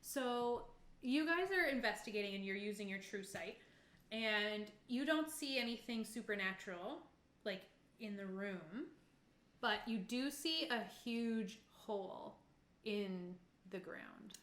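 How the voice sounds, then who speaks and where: A woman's voice: medium pitch, expressive, takes it slowly, fairly bright tone. A woman casually narrating a tabletop game as game master, improvising in a tentative, hedging way. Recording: echoey room, faint background noise.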